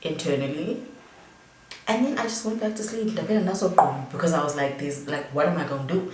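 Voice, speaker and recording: A woman's voice: low voice, brisk, reverberant, recorded from a distance, a little hiss or hum, poor audio. A young South African woman, a little tipsy, chatting casually as she tells a story during a makeup vlog, rambling with plenty of fillers. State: tipsy from drinking. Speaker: beauty vlogger.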